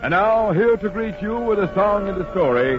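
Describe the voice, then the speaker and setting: A man's voice: high-pitched, lively intonation, moderate pace. An American man announcing a show in the style of 1940s radio. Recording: muffled old radio, music behind.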